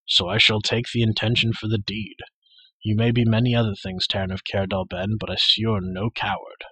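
A man voicing a calm, wise warrior lord who speaks in a measured, grave and gentle way. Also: on the low side, husky, no echo, low-quality recording. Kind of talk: audiobook character voice.

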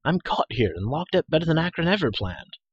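Storybook young pig keeper, male, voiced by a man: hot-headed, exclamatory, wide pitch swings, dry close sound. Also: expressive, fast talker, fairly bright tone, breathy edge, dry recording, muffled recording. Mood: excited. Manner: exclamatory, indignant.

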